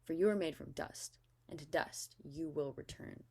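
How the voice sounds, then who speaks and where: Woman reading as stern God, low and solemn. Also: moderate pace, mellow. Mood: solemn judgment. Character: stern, commanding God pronouncing judgment.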